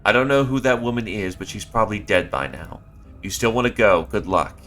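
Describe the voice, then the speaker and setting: A man reading out the lines of a wounded android worker, in a flat, quiet read-aloud voice. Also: fairly low pitch, brisk, soft background music. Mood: grim warning.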